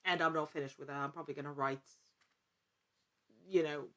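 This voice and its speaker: A woman's voice: low voice, steady tone, fairly quick, fairly bright tone. A woman talking casually as an interview guest, earnest and thinking aloud in broken phrases. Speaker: podcast guest, actor and actual-play storyteller.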